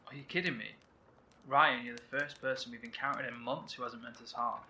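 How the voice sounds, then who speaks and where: Frank wasteland survivor in horror audio drama, super bright edgy voice, echoey tape w some background noise. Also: male voice, mid-range voice, fairly even intonation, a little fast. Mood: friendly, wary. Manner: frank, emphatic. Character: friendly, blunt, embittered male wasteland survivor. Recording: roomy, distant field recording with ambient noise.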